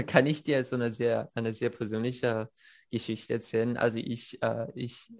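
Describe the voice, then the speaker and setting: A man's voice: medium pitch, flat delivery, a little slow. A man whose first language is Chinese, speaking German in a casual conversation, hesitant with many fillers and restarts. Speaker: conversation partner.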